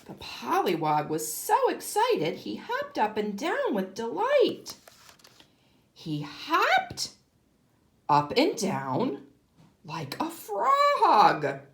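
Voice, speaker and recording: A woman reading a children's story aloud as a kindergarten teacher, deliberate and emphatic, speaking to young children. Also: high voice, huge pitch swings, a bit bright.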